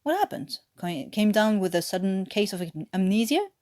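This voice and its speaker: A woman's voice: medium pitch, big pitch swings, normal speed, a little mellow, dry recording, clean studio sound, natural voice. A resentful bully of a prisoner of war, voiced by a woman narrator. He is suspicious and challenging, throwing out sarcastic questions in a wartime story.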